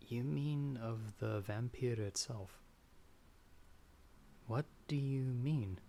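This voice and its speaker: A man plays a timid young male traveler in a horror audio roleplay. He speaks slowly in a mid-pitched voice, softly and hesitantly, prone to stammering when afraid. A clear bed of background noise sits under the voice. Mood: curious, uneasy. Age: young adult. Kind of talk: ASMR audio roleplay acting.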